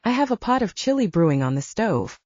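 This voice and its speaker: A woman's voice: mid-range voice, sing-song, a little fast, darkish tone, no room sound, lo-fi sound. A woman voicing a tearful middle-aged mother wolf in an audiobook, overwhelmed and fussing, with a choked delivery. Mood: anxious, fussing.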